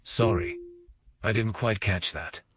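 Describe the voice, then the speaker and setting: An actor narrating a fantasy audio game as a calm, measured male storyteller. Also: lowish voice, no echo, studio quality. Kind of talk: scripted narration.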